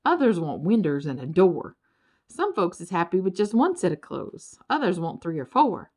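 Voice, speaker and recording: An easygoing storybook critter, part bird and part turtle, drawls in a rural Southern US accent, acted out by a woman reader. The delivery is folksy and contented, and the pitch moves about in a very animated way. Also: moderate pace. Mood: drawling, contented. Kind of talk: children's storybook character voice.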